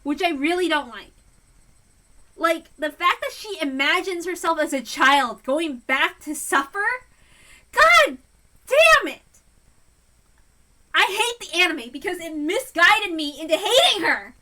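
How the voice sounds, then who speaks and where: Bright, emphatic woman giving impassioned commentary. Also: very high-pitched, big pitch swings. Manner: emphatic, exasperated, halting. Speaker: reader and commentator. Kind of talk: casual impassioned fan commentary.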